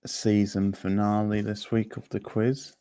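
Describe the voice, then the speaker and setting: A man's voice: on the low side, little pitch movement, unhurried, mellow, clean tone, no echo. A man hosting a quiz, chatting casually and informally with viewers on a livestream. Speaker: quiz host.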